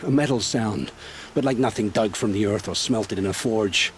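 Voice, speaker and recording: An actor playing a remorseful male traitor in a video game, talking in a slow, haunted, pleading way. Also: lowish voice, a little hiss or hum, close to an everyday voice.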